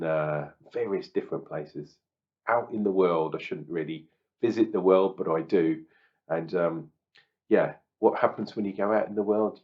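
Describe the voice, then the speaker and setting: A man, husky and crackly from a cold, chatting casually through a beer review. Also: sits low. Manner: chatty and self-deprecating, muttering asides. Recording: slightly dull lo-fi sound.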